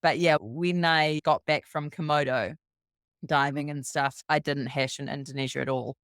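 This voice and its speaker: A New Zealand woman tells a rambling, quick-moving story and keeps slipping into what people said to her. Her voice is smooth and clean, recorded close and dry with no room around it. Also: low-pitched, fairly even intonation, a bit bright, no echo. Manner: brisk, rambling anecdote full of quoted dialogue. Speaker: podcast guest. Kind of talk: casual storytelling.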